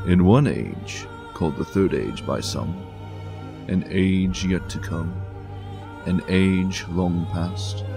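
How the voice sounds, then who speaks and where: A man giving a dramatic reading of a fantasy novel in a solemn, deliberate storyteller manner. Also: low voice.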